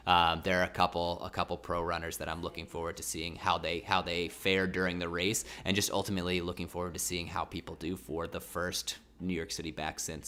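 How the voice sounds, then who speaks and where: A man with a very low voice and a bright, forceful edge, talking casually to viewers on a livestream. He rambles and corrects himself as he goes. A mild cold leaves his throat a little sore. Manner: rambling, self-correcting, chatty. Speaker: livestream host.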